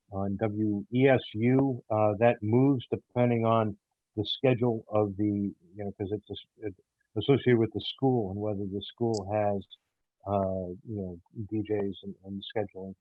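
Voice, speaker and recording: Male interview guest, lower register, hesitant and sincere, casual talk in a dry space. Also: dry recording, phone-quality sound. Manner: hesitant, halting, earnest. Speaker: audio theater show creator, interview guest.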